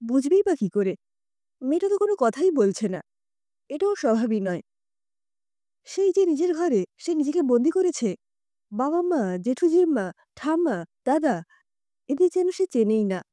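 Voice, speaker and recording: A woman narrating a scripted story in an expressive storytelling manner. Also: high-pitched, normal speed, long pauses, dry recording.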